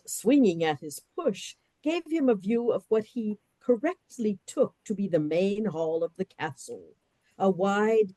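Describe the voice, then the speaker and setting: A woman narrating a radio play in a measured storybook reading. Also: medium pitch, mellow, no echo, poor audio.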